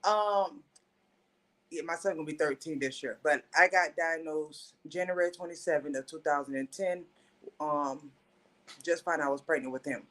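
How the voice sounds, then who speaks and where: Thirties woman, Haitian Creole native, guest telling her life story with earnest pauses. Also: low voice, fairly bright tone. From Haitian family, raised in Florida. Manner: earnest, pausing, self-correcting. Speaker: podcast guest. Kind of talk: personal storytelling.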